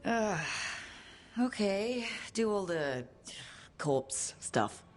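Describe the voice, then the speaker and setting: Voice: medium pitch, very animated, very slow. An actor playing an easygoing, wry adventurer in a video game, speaking casually and plainly. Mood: wary, casual. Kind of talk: video game dialogue acting. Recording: music behind the voice.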